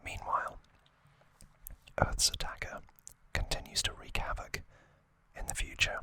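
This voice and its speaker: Very bright, slow ASMR whisper. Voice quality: breathy unvoiced whisper. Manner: slow, hushed, pausing between short phrases. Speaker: host of an ASMR channel. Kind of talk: ASMR whispered anime recap and trivia.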